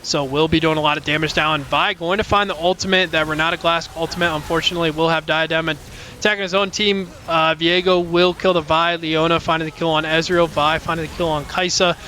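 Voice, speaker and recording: A young man casting a live college esports match, calling plays quickly, with many fillers and sudden jumps in pitch at big plays. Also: level tone, sharp tone, a little hiss or hum. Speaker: esports caster. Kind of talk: live play-by-play commentary. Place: college esports broadcast booth.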